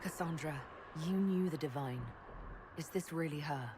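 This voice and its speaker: A woman's voice: deep voice, moderate pace, close to an everyday voice. An actress playing a plainspoken, resolute female leader in a video game, with a direct, unadorned delivery. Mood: brisk, questioning. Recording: noisy game ambience.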